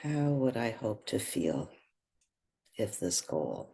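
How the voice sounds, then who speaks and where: A meditation guide speaking gently and without hurry, leaving pauses between short phrases. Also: medium pitch, big pitch swings, husky.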